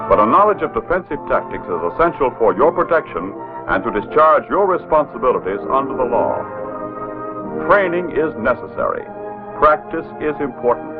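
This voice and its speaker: An American man narrating a 1960s instructional film script in a formal, authoritative, measured way. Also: mid-range voice. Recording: tinny narrow-band old film soundtrack.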